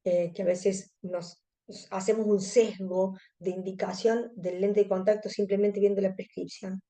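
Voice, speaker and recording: Mellow Argentine woman lecturing, Cordobese accent. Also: mid-range voice, little pitch movement, unhurried. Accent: Cordobese Argentine Spanish. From Córdoba, Argentina. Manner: explanatory, addressing colleagues. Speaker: presenter, contact lens specialist. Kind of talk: educational webinar lecture.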